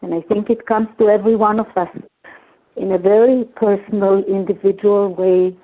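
An older woman whose first language is Hungarian, sharing in a recovery meeting in a halting, earnest way, often repeating words. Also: medium pitch, steady tone. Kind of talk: personal share in a phone recovery meeting. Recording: tinny phone line.

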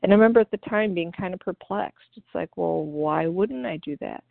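A woman from Ohio sharing her recovery story at a meeting, earnest and grateful, pausing often with fillers. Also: lowish voice, creaky, no room sound. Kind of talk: personal recovery share. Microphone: phone call. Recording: tinny phone line.

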